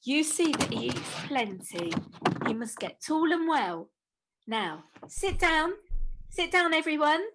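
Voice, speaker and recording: Woman acting a bustling, high-pitched Victorian mother. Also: very animated, takes it slowly, over music, exaggerated voice. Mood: bustling, fond. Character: bustling, fond, indignant Victorian working-class mother. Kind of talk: amateur radio-play acting.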